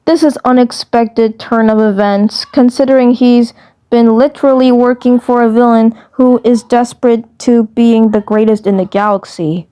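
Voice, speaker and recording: A woman's voice: fairly high pitch, fairly even intonation, normal speed, dark tone, clean tone, lightly acted. A woman voicing a sceptical, unimpressed cartoon boy with a dry, doubtful delivery in an amateur fandub.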